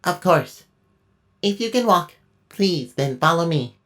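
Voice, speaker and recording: A woman's voice: on the low side, animated, slow pace, fairly bright tone. A woman playing a polite, obedient robot servant in an audio drama, deferential in manner. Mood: dutiful, subdued.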